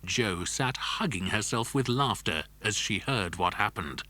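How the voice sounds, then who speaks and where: A man's voice: on the low side, animated, a little slow, pushed and bright. A man narrating a scripted children's adventure story.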